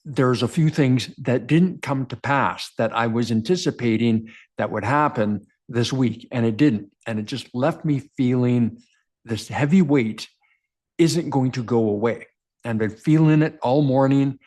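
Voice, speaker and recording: An earnest man with a baritone voice speaks straight to the camera as a coach, at a middle pitch. He talks directly and repeats key phrases to drive them home. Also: measured pace, a little mellow. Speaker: men's coach and show host. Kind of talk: motivational self-help talk to camera.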